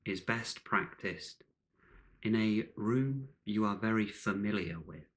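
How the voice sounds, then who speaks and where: A man leading a guided meditation in calm, measured short phrases with long pauses between them. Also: fairly low pitch.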